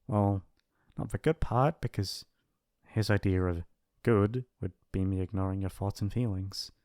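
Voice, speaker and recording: A man playing a shy, gently possessive young mafia heir in an intimate ASMR roleplay, speaking hesitantly and affectionately. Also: deep voice, measured pace, dry recording, clean studio sound. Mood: shy, flustered. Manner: hesitant, earnest, stammering, affectionate. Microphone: close studio mic, dry.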